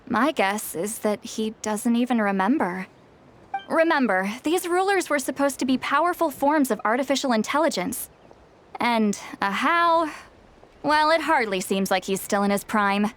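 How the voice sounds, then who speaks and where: A woman's voice: on the high side, a bit bright. A woman voicing a knowledgeable character who explains ancient lore in a video game, in an explanatory, matter-of-fact way.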